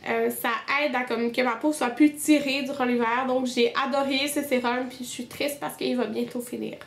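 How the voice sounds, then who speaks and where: A woman's voice: sits high, fairly even intonation, normal speed, vocal fry, reverberant, noisy background. A young woman with a Québécois French accent, stuffed up with a cold, chatting casually about her favorite beauty products. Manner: chatty, many fillers and restarts. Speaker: beauty vlogger. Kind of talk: casual monthly favorites video.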